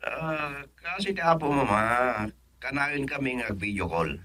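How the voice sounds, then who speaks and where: A man playing a bright-voiced devoted son. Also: mid-range voice, expressive, measured pace. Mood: earnest. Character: devoted young adult son, hopeful in love. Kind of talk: radio drama acting.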